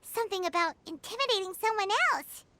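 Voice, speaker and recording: Squeaky, feisty little fairy companion. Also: female voice, brisk, noisy background. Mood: earnest. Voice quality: squeaky, childlike. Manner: refers to self by name, perky. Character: Paimon, tiny childlike floating fairy companion, plucky and feisty. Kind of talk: anime game character acting. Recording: game music behind the voice.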